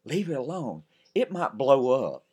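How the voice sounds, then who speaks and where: A man with a deep voice narrating, here voicing a brisk, proper young southern woman who teaches school. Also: big pitch swings, mellow, slightly rough. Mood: warning.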